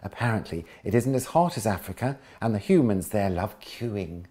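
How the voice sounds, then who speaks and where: A scheming lady hyena full of excited plans, bossy and coaxing, as a man reads her aloud from a storybook. She talks with a mellow, low-set tone and a quick, eager lift, putting on airs when she plays the grand lady. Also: lightly acted. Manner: excited, coaxing, goes posh.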